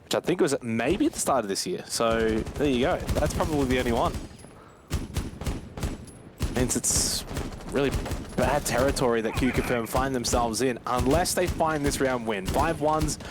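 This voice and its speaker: A man's voice: mid-range voice, moderate pace, noisy background. A man giving live esports commentary with banter, blunt and critical in the way he talks. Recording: clear game gunfire behind the voice. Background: game gunfire and clicks.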